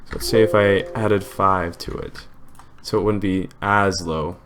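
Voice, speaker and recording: A man hosting a casual software tutorial, explaining informally step by step. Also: deep voice, steady tone, a little slow. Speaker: tutorial host.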